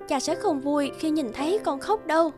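Cartoon mum played by a female actor, caring yet gently firm, soft music behind. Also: sits high, normal speed, natural voice. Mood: tender. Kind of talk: children's cartoon dialogue.

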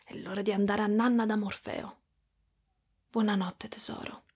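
A woman voicing a gentle, protective spider-woman mother in an intimate ASMR roleplay, soft and breathy, often slipping toward a whisper. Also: mid-range voice, level tone, normal speed, natural voice. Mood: tender, soothing. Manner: soft, cooing, hushed, drifting into whispers.

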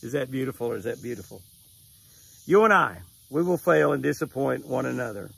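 An older man with a drawl talks in a folksy, storytelling way, outdoors by a lake. His voice is heavily gravelly and somewhat husky. He repeats his main phrases for emphasis. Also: mid-range voice, expressive, fairly quick, a bit bright. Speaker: devotional host and angler. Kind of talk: casual outdoor devotional and fishing tip. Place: lakeside lawn outdoors at sunrise.

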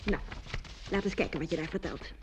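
A woman playing a brisk, amused but exacting aunt in an old radio comedy. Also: on the low side, lightly acted. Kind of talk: mid-century Dutch radio comedy acting. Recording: old radio play with faint noise.

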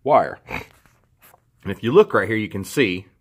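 A middle-aged man talks through a hands-on how-to in an indoor garage, picked up by the camera's own mic. His voice sits somewhat low. He keeps it relaxed and plain-spoken, restarting a phrase here and there as he goes. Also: slow, breathy edge. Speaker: host. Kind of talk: casual how-to walkthrough.

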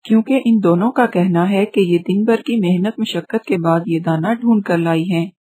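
A woman voicing a wise male parrot elder in a children's story, measured and authoritative. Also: medium pitch, warm tone, slight background noise, natural voice. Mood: measured, explaining.

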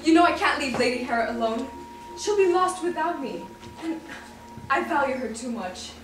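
A woman's voice: high-pitched, animated, moderate pace. A young woman playing a devoted maid torn by love in a stage play. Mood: tender, torn. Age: young adult. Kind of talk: amateur romantic stage acting. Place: small theatre stage. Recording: very echoey theatre, distant, music behind.

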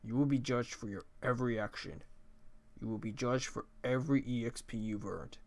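A man voicing a laid-back skeleton from a video game, a joker and judge who speaks in casual, deliberate phrases. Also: mid-range voice, natural voice. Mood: solemn, grave. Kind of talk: character voice acting of video game dialogue.

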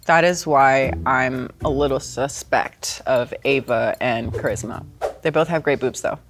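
A young woman in her twenties speaking in a relaxed, matter-of-fact way in a group chat. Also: extremely low pitch, unhurried. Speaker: contestant. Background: music bed.

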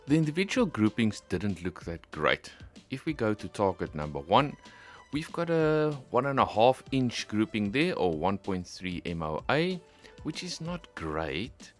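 A middle-aged man presenting a shooting vlog in a casual, expressive way, talking straight to his viewers. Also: fairly low pitch, unhurried, soft background music. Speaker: host of an airgun shooting channel.

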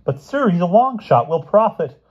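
A man's voice: on the low side, expressive, normal speed, muffled recording, pitched above the performer's own voice. A man voicing a brash, undaunted schoolboy in a children's storybook, eagerly persuasive and respectful. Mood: eager pitching.

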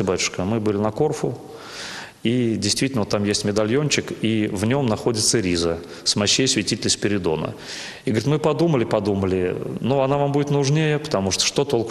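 A middle-aged man, a parish priest, telling stories in an on-camera interview. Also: on the low side. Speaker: parish priest, church rector. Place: inside a small church. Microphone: handheld interview microphone. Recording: echoey, reverberant church interior.

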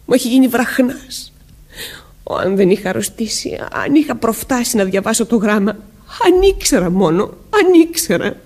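A woman's voice: fairly high pitch, expressive, darkish tone, breathy edge. An actress playing an anxious, guilt-haunted married woman, emotional and pleading, in a radio drama style. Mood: anguished, guilty. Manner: emotional, pleading, breaking into whispers. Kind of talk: Greek radio drama acting.

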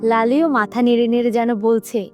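A woman's voice: on the high side, steady tone, normal speed, darkish tone, faint music behind. A woman narrating a scripted story for children as a storyteller.

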